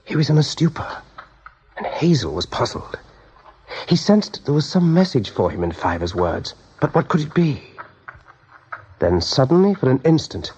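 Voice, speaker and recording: A man's voice: medium pitch, a little mellow, breathy edge. A man narrating a scripted radio drama as storyteller. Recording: dull vintage radio recording.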